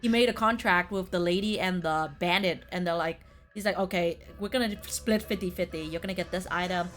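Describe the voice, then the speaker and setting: A woman's voice: lowish voice, a little fast, a bit bright. A woman hosting a casual, chatty discussion of video games. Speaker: host of a gaming tier list video. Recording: music playing behind the talk. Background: background music.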